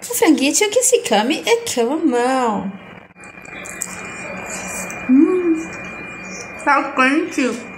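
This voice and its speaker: A woman's voice: high voice, sing-song, extremely slow, long pauses, background noise. A middle-aged woman from Brazil chatting playfully to her phone camera in a home kitchen, narrating her food and coining cute diminutive words. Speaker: vlogger. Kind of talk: casual food vlog narration.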